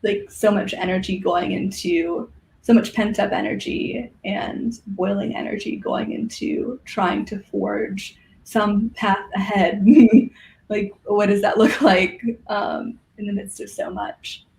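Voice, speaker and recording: A woman's voice: medium pitch, steady tone, takes it slowly, vocal fry, darkish tone, slight background noise, poor audio. A woman guest chatting casually and reflectively, searching for her words as she goes. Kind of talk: casual chat.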